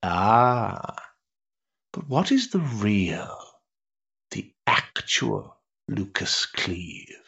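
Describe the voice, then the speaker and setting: An actor playing an urbane, cynical older aristocrat in a period stage drama, pompous and sardonic in ornate phrasing. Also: male voice, lowish voice, big pitch swings, drawn-out words, vocal fry, pauses often, a bit bright, breathy edge, muffled recording, exaggerated voice. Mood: dryly scornful.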